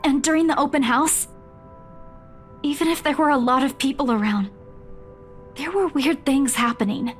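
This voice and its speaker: A woman as a high-voiced, anxious teenage girl. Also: moderate pace, long pauses, faint music behind, close to an everyday voice. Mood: uneasy, insistent. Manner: earnest, hesitant. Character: anxious, caring teenage girl calling after her friend. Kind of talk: visual novel voice acting.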